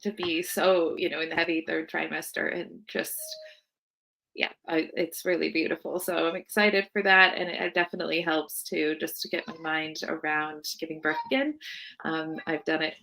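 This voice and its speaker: A woman's voice: sits low, normal speed, creaky, pushed and bright, poor audio. An American woman in her thirties, chatting casually as a guest in an interview. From United States, Seattle area. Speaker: podcast guest, birth worker.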